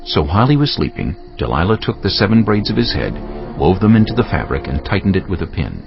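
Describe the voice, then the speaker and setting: A man narrates dramatized scripture in a deep, creaky voice. His delivery is solemn and dramatic. Music plays clearly underneath. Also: big pitch swings, quick pace. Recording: dull band-limited audio over music.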